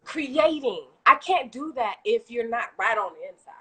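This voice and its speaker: A woman with a Haitian accent talks at length over a video call, with a bright, slightly high voice. She is a chatty, animated guest who laughs easily and keeps the conversation flowing. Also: moderate pace, husky. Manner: talkative, animated, laughs often. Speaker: guest entrepreneur. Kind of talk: casual live-stream chat.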